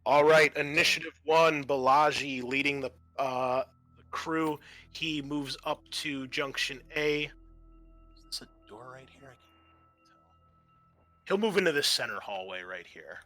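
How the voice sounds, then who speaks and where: A man's voice: mid-range voice, a little slow, bright, forceful tone, no room sound. A man running a tabletop role-playing game as game master, talking casually and swearing freely.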